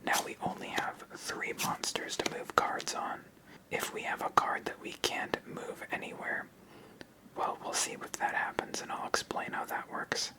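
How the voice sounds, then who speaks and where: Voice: unhurried, rough recording. Whispered ASMR game host. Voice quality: whispered, unvoiced. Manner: calm, step-by-step explaining. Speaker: host explaining a card game. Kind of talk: whispered ASMR card game play-through.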